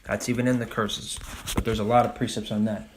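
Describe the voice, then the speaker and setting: A man teaching a Bible study, talking casually with frequent "all right?" and reading scripture passages slowly and deliberately. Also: fairly low pitch, flat delivery, background noise. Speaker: religious teacher.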